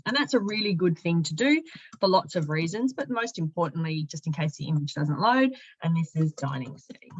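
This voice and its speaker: A woman narrating an informal software walkthrough, explaining each step conversationally as a product demonstrator. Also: on the low side, expressive, fairly quick, slightly rough, lo-fi sound. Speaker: product demonstrator, company sales and marketing director.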